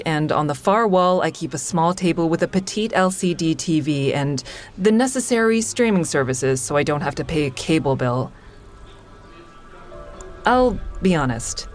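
A woman's voice: low voice, animated, moderate pace, faint music behind. An actress playing a wry, wary stand-up comic, narrating her life candidly in an audio drama. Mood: wry, confiding. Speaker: lead character and narrator.

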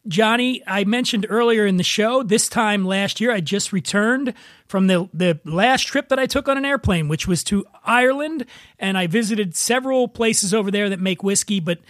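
A man's voice: high voice, normal speed, a bit bright, no echo, studio quality. A man hosting a podcast over a video call, talking in a loose, joking, rambling way. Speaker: podcast host. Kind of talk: casual chat on a video call.

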